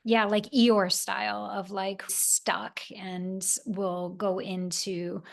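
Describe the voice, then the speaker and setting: A woman hosting a podcast, explaining ideas in a casual, animated way. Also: medium pitch, slow pace, gravelly. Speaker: podcast host.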